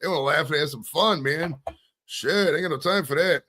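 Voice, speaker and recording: A man's voice: a little high, a little fast, sharp tone, slight background noise. A man hosting a live podcast interview, talking loosely and profanely in a rambling, casual way. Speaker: podcast host.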